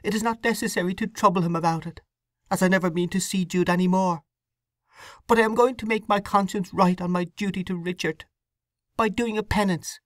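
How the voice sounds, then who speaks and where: A woman's voice: mid-range voice, fairly even intonation, brisk, no room sound, clean studio sound, pitched above the performer's own voice, lightly acted. A woman voicing a young, distraught woman torn by conscience, pleading and breathless. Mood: anguished.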